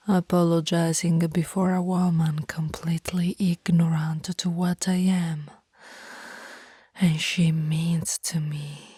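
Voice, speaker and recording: A lovestruck female vampire acted by a woman whispers in a low, mellow, breathy voice. She speaks slowly and formally, with old-fashioned romantic courtesy, both predatory and apologetic, as in an intimate ASMR roleplay. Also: no echo, clean studio sound, exaggerated voice. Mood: embarrassed, pleading.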